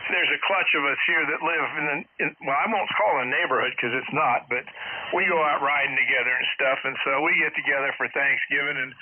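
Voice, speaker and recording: A man's voice: medium pitch, brisk. An American man, middle-aged or older, talking in a plain-spoken, colloquial way over a ham radio link. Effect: radio transmission. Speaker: amateur radio operator, caller. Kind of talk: casual ham radio chat. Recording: narrow, noisy, crackly ham radio signal.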